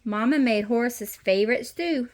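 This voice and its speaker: A woman reading a children's picture book aloud as a storytime host, with expressive storytelling. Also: on the high side, normal speed. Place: messy playroom at home. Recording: noisy home room with clicks.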